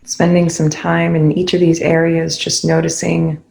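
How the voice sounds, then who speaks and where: Meditation guide, calm and instructive, mid-pitched with noticeable vocal fry, leaving space between phrases. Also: normal speed.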